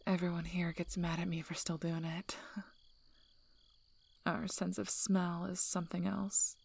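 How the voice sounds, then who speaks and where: A woman playing a guarded, gruff but caring young werewolf alpha in a soft-spoken ASMR roleplay, hesitant and often close to a whisper. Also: fairly low pitch, little pitch movement, full character voice. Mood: quiet, troubled. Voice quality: husky, breathy, often near whisper. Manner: hesitant, halting, understated.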